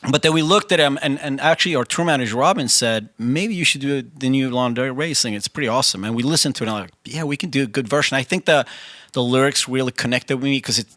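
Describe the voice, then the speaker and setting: A bright, forceful male voice speaking English as a second language, his first being Swedish. He talks loosely and chattily, restarting phrases and acting out what people said. The sound is close and dry, with no room around it. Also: medium pitch, fast talker, dry recording. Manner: chatty, restarts often, quotes himself. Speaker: band singer, interview guest. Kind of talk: casual interview conversation. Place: studio.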